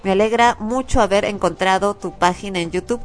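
A woman's voice: mid-range voice, a little fast. A woman co-hosting a radio talk show, laughing easily and reading listener emails in a matter-of-fact way.

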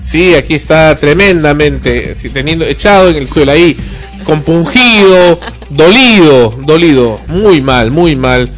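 A chatty man hosts a radio talk show, joking and rambling with plenty of fillers. His voice sits a little high for a man, and soft music plays faintly underneath. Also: measured pace. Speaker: radio show host and director. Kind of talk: casual radio chat.

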